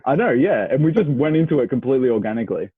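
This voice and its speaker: A man's voice: mid-range voice, very animated, rapid-fire, slightly rough, no echo. A young Australian man bantering casually and bluntly as a podcast co-host, swearing freely and full of opinions. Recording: muffled phone-like radio sound.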